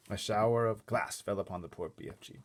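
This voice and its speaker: A man reading a children's book aloud in an expressive, often hushed way, adding casual explanatory asides. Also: on the low side, brisk. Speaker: storybook reader.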